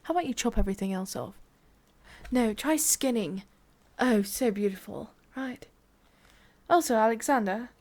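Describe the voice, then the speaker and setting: A woman with an English accent playing a cruel, condescending female boss in an ASMR roleplay, murmuring with drawn-out pauses. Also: fairly high pitch, creaky, a little mellow. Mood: casually menacing.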